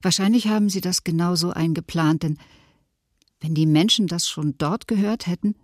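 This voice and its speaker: A woman's voice: fairly low pitch, moderate pace, darkish tone, no echo, clean studio sound, lightly acted. An actress playing a female survivor giving sober, reflective testimony in a documentary radio drama. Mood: bewildered, sober.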